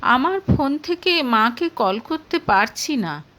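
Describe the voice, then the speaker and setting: A woman's voice: high voice, expressive, slow pace, fairly bright tone, full character voice. A woman voicing an anxious, suspicious young wife who speaks in questioning, pleading tones in an audio story. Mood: coaxing.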